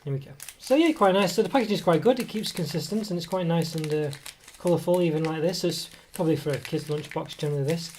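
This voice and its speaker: A man's voice: fairly high pitch, quick pace, few pauses, darkish tone, slight background noise. A man with a cold reviewing a snack at an indoor table, talking in a rambling, hesitant way with many fillers and self-corrections, recorded on a table camera mic. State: has a cold, voice coming and going. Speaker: food reviewer. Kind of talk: casual product review.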